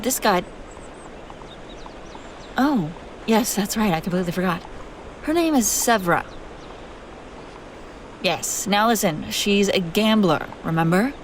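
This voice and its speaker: A woman's voice: mid-range voice, a little hiss or hum. A woman playing a haughty, wry young princess on the run in an acted fantasy audio roleplay, bantering with exasperated sarcasm. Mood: teasing, exasperated. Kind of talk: acted audio roleplay, one-sided dialogue.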